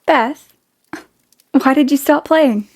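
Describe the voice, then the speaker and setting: An actress plays a spirited, independent young woman with a high-pitched voice. She speaks earnestly and with emphasis, loving yet stubborn, in the manner of an audio play. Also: takes it slowly, darkish tone. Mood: worried, denying.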